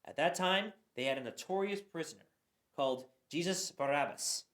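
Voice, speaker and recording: Woman, scripture reader, low voice, animated reading, roomy echo. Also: moderate pace. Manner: expressive reading with occasional stumbles. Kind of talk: dramatic Bible reading.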